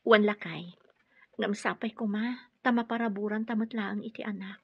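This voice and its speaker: A woman playing a grateful, affectionate wife, speaking softly in an Ilocano radio drama. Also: medium pitch, steady tone, normal speed, creaky, slightly rough, no echo, highs cut off.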